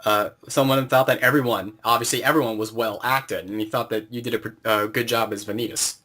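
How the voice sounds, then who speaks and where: A man's voice: medium pitch, steady tone, a little fast, sharp tone. A man conducting a casual interview, explaining things informally as the interviewer. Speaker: interviewer and series creator.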